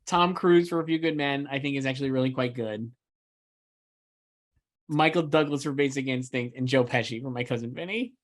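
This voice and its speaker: A man's voice: medium pitch, fairly quick. Enthusiastic man chatting casually. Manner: opinionated, enthusiastic, emphatic. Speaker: entertainment editor co-hosting a discussion show. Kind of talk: casual film awards chat.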